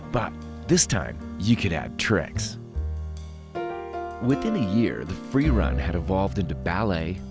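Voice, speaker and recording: A middle-aged American man with a low voice narrates a personal documentary. He tells his story reflectively, leaning on key phrases with deliberate emphasis. Loud guitar music plays under his voice. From United States. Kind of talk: scripted documentary narration, reminiscing.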